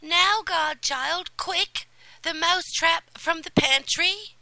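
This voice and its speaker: A woman voicing a kind fairy godmother in a storybook reading, brisk and commanding. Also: very high voice, no room sound, voice pushed up, exaggerated voice, low-quality recording. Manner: bright, commanding, encouraging.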